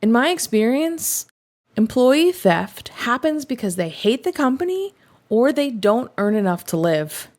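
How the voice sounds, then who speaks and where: A woman reading first-person confession stories aloud in an expressive, conversational storytelling manner. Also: a little high. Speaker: story reader, host of a show reading online confessions.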